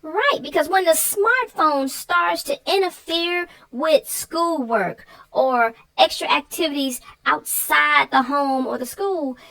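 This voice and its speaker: A woman with a high voice chats as a co-host. She talks emphatically and expressively and laughs easily. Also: slow, vocal fry, few pauses, pushed and bright, rough recording. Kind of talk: casual chat.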